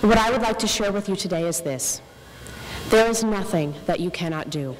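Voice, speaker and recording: A young woman giving an earnest, measured inspirational speech as a faculty speaker at a podium microphone. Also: medium pitch, expressive. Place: large indoor ceremony hall, on stage. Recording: reverberant hall with clear background noise.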